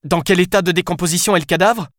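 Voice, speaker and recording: A man's voice: sits high, fairly even intonation, quick pace, a bit bright, no echo, studio quality, pitched above the performer's own voice. A man reading aloud, voicing an urgent, commanding young female police officer. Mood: alarmed. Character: tense young female French cop.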